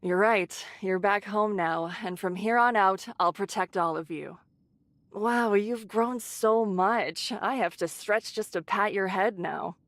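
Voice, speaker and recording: A woman voicing a caring, brisk shelter leader who scolds in a motherly, teasing way, in an English anime game. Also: mid-range voice, fairly bright tone, no echo. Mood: fond.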